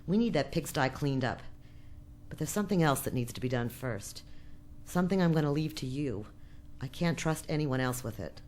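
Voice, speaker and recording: A woman playing a shrewd, bossy outpost chief with a brisk, guarded, commanding manner in a radio drama. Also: deep voice, animated, darkish tone, slight background noise. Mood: tense, secretive.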